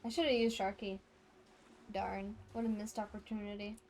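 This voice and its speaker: A woman's voice: mid-range voice, little pitch movement, normal speed, a little mellow. A woman streaming a video game with casual commentary. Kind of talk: casual live stream chat.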